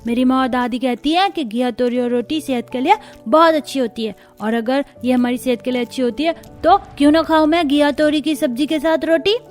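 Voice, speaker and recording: A woman's voice: sits high, fairly quick, hardly any pauses, natural voice. A woman voicing a sulky, defiant little schoolboy in a cartoon family story, with music behind. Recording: music under the voice.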